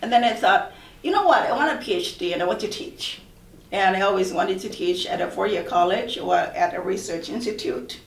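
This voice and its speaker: A woman's voice: medium pitch, fairly quick, vocal fry. Woman speaking from far in a roomy space. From Thailand. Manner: earnest, reflective, with repeated restarts. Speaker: college professor. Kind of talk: panel discussion. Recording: roomy echo, far from mic.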